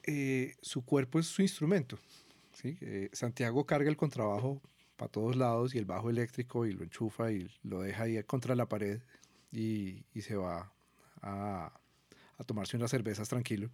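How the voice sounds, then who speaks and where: Man, Colombian accent, interviewer, conversational, mid pitch, very dry sound. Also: normal speed, a little mellow, no echo. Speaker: podcast interviewer.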